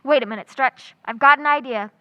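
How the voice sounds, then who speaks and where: A woman acting a wry, quick-witted schoolteacher in old-time radio comedy, full of dry, sarcastic wisecracks. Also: high voice, dry recording, muffled recording, close to an everyday voice. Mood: wry, playful.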